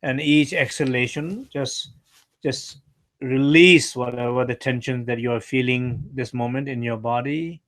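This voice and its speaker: Male meditation teacher, non-native English speaker, very unhurried delivery, mid-range pitch, gentle short phrases with pauses. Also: fairly even intonation. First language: not English. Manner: gentle, halting, pausing between short phrases. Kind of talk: guided meditation instruction.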